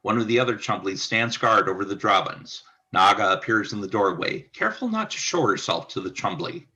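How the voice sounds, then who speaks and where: A male narrator reads scripted stage action for a radio play. His voice is on the low side, with a bright, forward edge. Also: lively intonation, a little fast.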